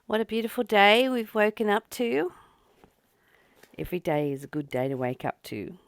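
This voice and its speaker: A woman hosting a spiritual study, reading a text aloud and commenting on it in deliberate, measured phrases. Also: mid-range voice, very animated, no room sound. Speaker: host of daily spiritual readings.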